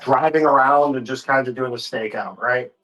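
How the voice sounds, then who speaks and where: A man's voice: medium pitch, normal speed, a bit bright, highs cut off. A man casually talking as game master of a tabletop horror role-playing game.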